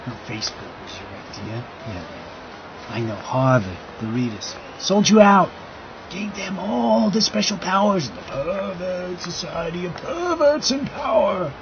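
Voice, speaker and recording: A man's voice: sits high, lively intonation, measured pace. A man acting in an audio drama as a rambling, agitated, crude guy arguing about conspiracies. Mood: ranting. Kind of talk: scripted audio drama, casual dialogue. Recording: dull recording with loud background noise.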